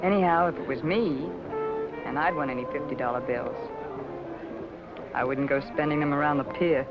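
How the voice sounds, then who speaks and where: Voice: mid-range voice, normal speed, natural voice. An actor in a 1950 film noir playing a shrewd, tough character with a cool, insinuating, slangy way of talking. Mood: knowing, sly. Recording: muffled old film soundtrack, loud music.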